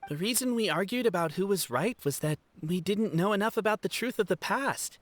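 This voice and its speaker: A woman's voice: mid-range voice, expressive, brisk, dry recording, close to an everyday voice. A woman playing an earnest, solemn, formal clan member in a video game. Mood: solemn certainty.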